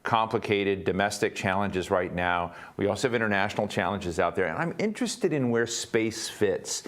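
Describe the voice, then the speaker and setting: A man's voice: lowish voice, lively intonation, moderate pace, a bit bright. A man moderating a panel, asking long conversational questions that search for words, with fillers and rephrasing.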